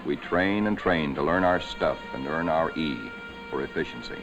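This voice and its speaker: A man giving scripted narration for a 1940s wartime documentary, in a measured, solemn way, on an old film soundtrack. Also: very deep voice, over loud music.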